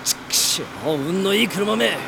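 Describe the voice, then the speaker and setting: An actor playing a brusque, hot-tempered police detective in a Japanese radio drama, with rough, blunt speech. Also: male voice, high-pitched, lively intonation, normal speed, heavy background noise. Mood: irritated. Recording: music behind the voice.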